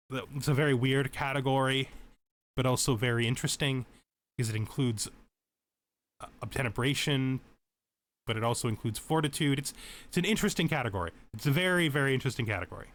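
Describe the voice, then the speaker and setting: A man's voice: medium pitch, fairly bright tone. A man giving casual tutorial commentary over a video game, explaining things as he thinks aloud.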